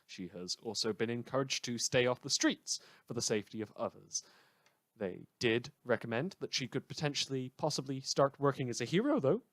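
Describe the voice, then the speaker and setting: A man's voice: medium pitch, expressive, a little fast, fairly bright tone, dry recording. Man playing a sardonic radio newscaster, dry close sound. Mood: wry, mock-solemn. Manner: wry, theatrical, conversational asides. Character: sardonic, rambling madman community radio newscaster in fiction. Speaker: radio news host. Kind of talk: satirical scripted radio news monologue.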